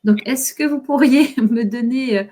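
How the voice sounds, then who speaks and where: Woman hosting, somewhat high voice. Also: measured pace, warm tone. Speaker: workshop host. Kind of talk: moderating an online discussion.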